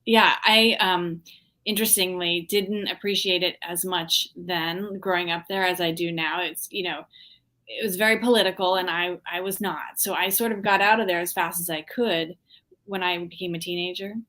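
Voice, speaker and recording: A woman from the Washington, D.C. area talks as an artist guest in a casual interview. Her voice sits a little low, and she speaks reflectively, pausing often with ums while she searches for words. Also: fairly even intonation, pushed and bright. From Washington, D.C. area, USA. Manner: reflective, hesitant, many ums.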